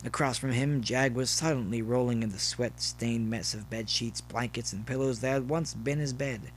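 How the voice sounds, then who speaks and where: A man's voice: mid-range voice, fairly even intonation, moderate pace, a little hiss or hum. A man reading scripted prose as the narrator of a story. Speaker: narrator, fan fiction reader.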